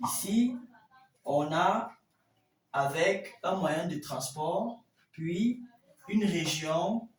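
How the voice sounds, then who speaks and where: Language teacher, mid-pitched and unhurried, didactic, repeating examples, recorded from a distance in a room. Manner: didactic, repeats example phrases slowly and deliberately. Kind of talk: classroom Italian lesson explained in French. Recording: roomy echo, distant mic, faint noise.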